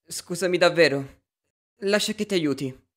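A man's voice: a little high, normal speed, noisy background, close to an everyday voice. Man voicing an earnest, anxious schoolboy. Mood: apologetic. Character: polite, anxious, reasonable teenage schoolboy. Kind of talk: Italian fan cartoon dub.

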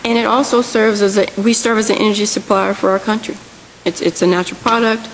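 A woman's voice: medium pitch, fairly even intonation, fast. A woman in her thirties presenting on a policy panel into a table microphone in a large hearing room, earnest and correcting herself as she goes. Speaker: panel speaker. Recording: long hall reverb, faint hiss.

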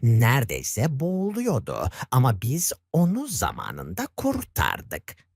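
A man's voice: medium pitch, wildly swooping pitch, slow pace, creaky, slightly rough, no room sound, clean studio sound, exaggerated voice. A man voicing a wise, patient old dolphin leader who teaches the young in a children's story. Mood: patient, serious. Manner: patient, instructive.

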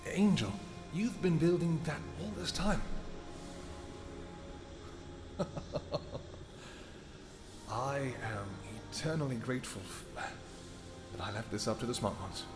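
A man voicing a protective royal vampire husband in a scripted audio roleplay, soft-spoken and affectionate, with hesitant pauses. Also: mid-range voice, expressive, over music, lightly acted. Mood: amused.

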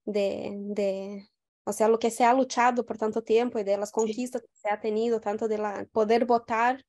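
A woman's voice: a little high, level tone, normal speed, darkish tone. A young woman from Brazil with a Brazilian Portuguese accent, speaking Spanish over a video call in an academic discussion, hesitant with many restarts. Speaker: audience member.

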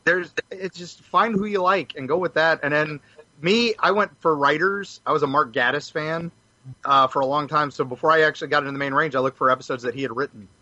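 A man's voice: fairly high pitch, fairly quick, bright, forceful tone, no room sound. A middle-aged man chatting casually as a podcast panelist on lo-fi audio. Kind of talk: casual chat. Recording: dull, lo-fi audio.

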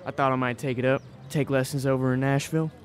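Casting: man playing earnest small-town youth, around twenty, medium-pitched, eager and folksy, for stage-style audio drama. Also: little pitch movement, quick pace, clear, resonant tone, natural voice. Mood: restless, earnest. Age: young adult. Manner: eager, folksy, blunt questioning. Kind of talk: audio play acting before a live audience.

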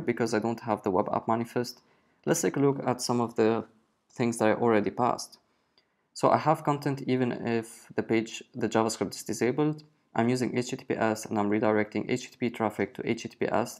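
A man's voice: mid-range voice, creaky. Casual man narrating a screencast. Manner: relaxed, explanatory, frequent fillers. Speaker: web developer presenting a screencast.

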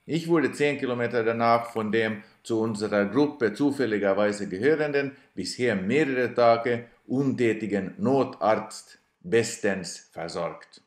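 Guy narrating, dry self-mocking tone, slow pace, roomy reverb. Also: medium pitch. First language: Swedish. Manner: dry, self-ironic storytelling. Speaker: cyclist narrating his trip video. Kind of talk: scripted voice-over narration.

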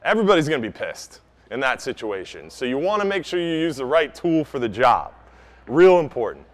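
A man's voice: mid-range voice, sing-song, a little fast. A man in his thirties giving an informal, practical fishing-captain style talk to a live group in a store, speaking up emphatically, recorded from a few meters away. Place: inside a large outdoor goods store. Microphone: camera a few meters away.

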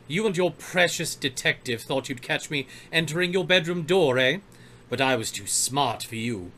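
Smug, menacing gentleman villain played by a man. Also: on the high side, lively intonation, moderate pace, slight background noise. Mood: gloating. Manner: mocking, suave. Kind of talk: old-time radio drama acting.

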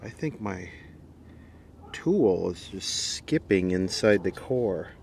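A man's voice: low voice, animated, slow, noisy background. A man giving a casual, unscripted hands-on demonstration as a video host, dry and wry in manner. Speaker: video host and radio repair hobbyist.

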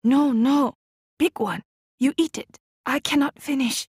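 A woman's voice: high-pitched, measured pace, darkish tone, no room sound, studio quality, pitched above the performer's own voice. A woman voicing a stern older immigrant mother, speaking in clipped, broken English. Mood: urgent.

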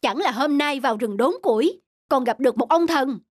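A woman voicing a naive, lazy young peasant son in an animated folk tale, with a whining, exclamatory delivery. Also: high voice, moderate pace, no room sound, clean studio sound. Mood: excited. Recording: background music under the voice.

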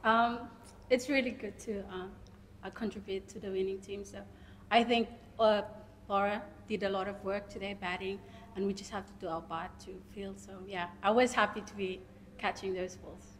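A woman's voice: medium pitch, steady tone, moderate pace. A woman cricket player giving casual answers at a post-match press conference. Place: indoor press conference room. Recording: long room reverb, faint noise.

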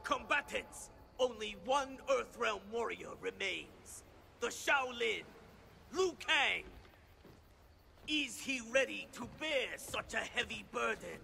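An actor playing a sinister, imperious old sorcerer who rules a tournament in a video game, theatrical, pompous and menacing. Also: male voice, extremely high pitch, animated, slow, creaky, bright, forceful tone, a little hiss or hum, heavily acted. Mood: grandiose. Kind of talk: villainous video game cutscene acting.

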